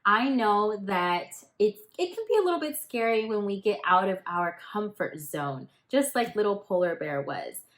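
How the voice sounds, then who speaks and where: A woman's voice: medium pitch, lively intonation, moderate pace, hardly any pauses, a bit bright. A woman teacher reading a storybook and talking to young children in an encouraging way, with simple phrasing. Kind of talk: storybook read-aloud and gentle address to children.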